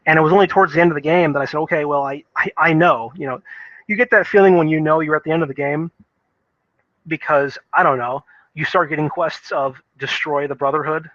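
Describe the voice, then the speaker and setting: A man giving casual, unscripted game commentary in a rambling, halting way, often repeating words as he thinks. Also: fairly high pitch, dry recording, dull audio. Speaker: video game reviewer.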